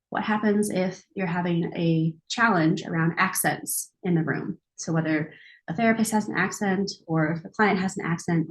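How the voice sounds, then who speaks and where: A woman's voice: on the low side, poor audio. A woman who supervises counselors, hosting a panel discussion in an upbeat, conversational way.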